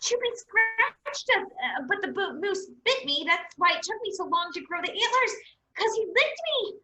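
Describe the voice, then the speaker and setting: A woman's voice: very high-pitched, animated, normal speed, bright, forceful tone, echoey room, heavily acted, rough recording. A woman playing a plucky, defiant police officer with emphatic comic delivery in a staged play reading. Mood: excited. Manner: emphatic, comedic.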